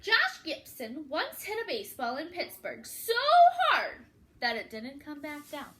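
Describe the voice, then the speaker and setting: A woman's voice: high voice, very animated, measured pace, bright, forceful tone. A woman reading a picture book aloud to young children, expressively, with dramatic emphasis and questions put to the listeners. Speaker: children's read-aloud storyteller.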